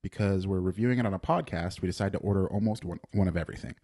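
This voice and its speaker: A young man with a lowish voice reads his own written food review aloud on a podcast. He is dry and deadpan, with plenty of "uh" restarts as he goes. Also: very fast. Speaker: podcast co-host.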